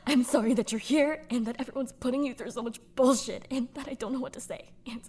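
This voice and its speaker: Lady doing a caring young woman, high-ish breathy voice, blunt and gentle, swears a bit. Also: fairly even intonation, fast talker. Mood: consoling. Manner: frank and gentle, swears, sometimes hushed. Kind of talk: fan audio drama acting.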